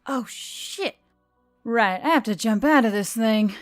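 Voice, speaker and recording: A woman's voice: fairly high pitch, lively intonation, unhurried, no echo, studio quality, soft background music. Woman acting irritable, foul-mouthed young woman. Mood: alarmed. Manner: growling, indignant outbursts.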